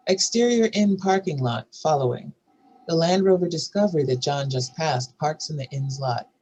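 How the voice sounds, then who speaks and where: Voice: mid-range voice, lively intonation, normal speed, darkish tone, slight background noise, rough recording. A narrator reading out stage directions and scene headings in a scripted table read.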